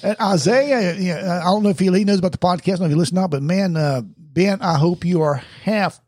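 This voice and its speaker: A man's voice: high-pitched, quick pace, a little mellow, husky, no echo. A man, co-hosting a casual podcast chat and teasing his guests. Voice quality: nasal. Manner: joking, teasing banter. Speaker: podcast co-host.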